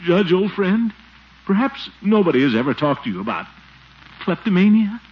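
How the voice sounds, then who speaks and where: Pompous excitable uncle, huge pitch swings, old radio comedy, muffled noisy recording. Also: male voice, normal speed, slightly rough, exaggerated voice. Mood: coaxing. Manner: theatrical, exclamatory, chuckling self-importance. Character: blustering, excitable middle-aged uncle and town commissioner. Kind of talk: 1940s radio comedy acting.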